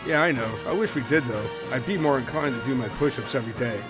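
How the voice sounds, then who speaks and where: A man's voice: medium pitch, quick pace, music in the background, lightly acted. A man playing a laid-back, grumbling dude of a castaway in a comic audio play. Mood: casual. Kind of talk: comedic audio play acting.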